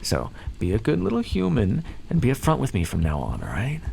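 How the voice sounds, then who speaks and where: A man's voice: mid-range voice, huge pitch swings, brisk, vocal fry, darkish tone, slight background noise, heavily acted. A man voicing a smug, playfully menacing vampire captor in an ASMR roleplay, speaking to the listener in a theatrical, condescending and teasing way. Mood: coolly businesslike. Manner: condescending, theatrical, teasing drawl with pet names.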